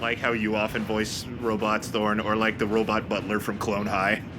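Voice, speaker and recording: A man's voice: on the low side, completely flat delivery, moderate pace. A man giving casual, rambling and enthusiastic gaming commentary among friends. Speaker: let's play host. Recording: voice over loud game music. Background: game music and sound effects.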